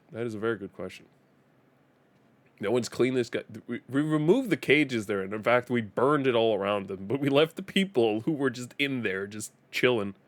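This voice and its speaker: A man from Denmark whose first language is Danish, speaking English with a Danish accent in casual live-stream gaming commentary, chatty, laughing often and repeating words as he restarts. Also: medium pitch.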